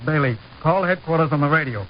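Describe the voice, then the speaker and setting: A man playing a tough American border patrol officer, brisk and commanding, in an old-time radio drama. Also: a little high, lively intonation, lightly acted. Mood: stern. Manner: brisk, commanding, hard-boiled. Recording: muffled, noisy old radio recording.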